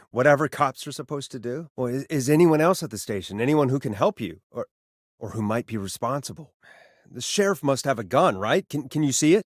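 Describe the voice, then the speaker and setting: A man's voice: medium pitch, dry recording, clean studio sound, lightly acted. An actor playing a sardonic male late-night radio talk show host who stammers a little under pressure, in a video game. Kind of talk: video game voice acting, conversational radio patter.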